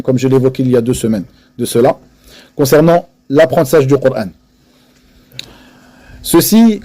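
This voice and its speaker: A man with a notably high voice delivers a religious lesson to a seated audience. He speaks earnestly and with emphasis, often repeating a phrase to drive it home. He slips Arabic religious expressions into his French. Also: dark tone. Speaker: religious teacher.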